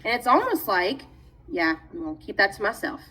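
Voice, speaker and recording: A woman livestreamer ranting to her chat in a brash, salty, excitable way, heard in a played-back clip. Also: medium pitch, a little fast, slight background noise. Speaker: livestream host.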